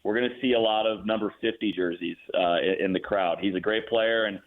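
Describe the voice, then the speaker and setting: A man with a fairly low voice talks sports as a broadcaster guest. He is fluent and enthusiastic in a conversational way. The sound is thin and phone-like. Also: fairly even intonation, a little fast. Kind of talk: conversational sports talk interview. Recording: tinny narrow phone-like line.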